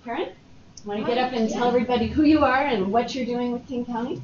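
A woman's voice: on the high side, fast, crowd noise. Upbeat woman hosting a work meeting. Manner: chatty and upbeat. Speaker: workshop host. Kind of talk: presenting at a workplace workshop. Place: meeting room. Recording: far-off roomy lo-fi meeting recording.

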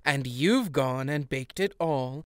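A woman plays a sharp-tongued fairy queen in an audio drama, closely and dryly recorded. She speaks slowly, with weary sarcasm and exasperation. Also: extremely low pitch, smooth, no echo, studio quality. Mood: sardonic. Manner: sarcastic, cutting. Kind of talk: modern audio drama acting.

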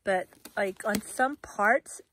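A woman vlogging casually at home, with a hoarse voice, rambling through an unboxing with lots of fillers and restarts. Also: mid-range voice, slow, fairly bright tone, a little hiss or hum.

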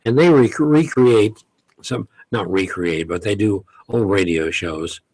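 A man's voice: fairly low pitch, a little slow, a little mellow, breathy edge, dry recording, rough recording. An older man, a longtime radio host, speaking bluntly and chattily as a studio guest. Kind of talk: interview guest. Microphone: studio microphone with headphones.

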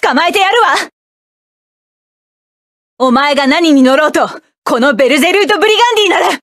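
A voice actress playing a proud young mech pilot in a game, shouting commanding, defiant battle lines. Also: female voice, high voice, lively intonation, a little fast, no room sound, studio quality. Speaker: mecha pilot character.

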